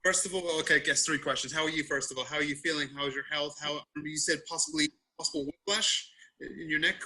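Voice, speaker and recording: A man with a very sharp, forceful voice and a husky rasp asks questions over a video call. He speaks haltingly, feeling for his words. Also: fairly high pitch, fairly even intonation, poor audio. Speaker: audience member asking questions. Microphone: video call microphone.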